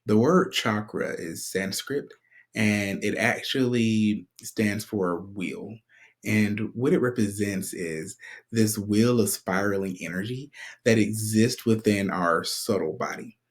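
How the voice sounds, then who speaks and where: A man hosting a spiritual podcast in a casual, conversational way, clearing his throat often while his voice recovers. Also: lowish voice, slow pace. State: recovering from a sore voice. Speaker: podcast host.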